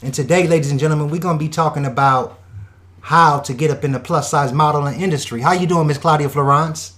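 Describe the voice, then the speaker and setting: A man hosting an online radio show, talking in an energetic, slangy hype style with catchphrases. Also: fairly high pitch, fast. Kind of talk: hype radio host patter.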